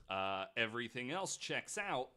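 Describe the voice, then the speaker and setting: A man's voice: mid-range voice, sing-song, unhurried, pushed and bright, clean tone. A man hosting a podcast, telling a story in a loose, chatty way with plenty of likes and the odd mild swear. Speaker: podcast host. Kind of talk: casual storytelling chat.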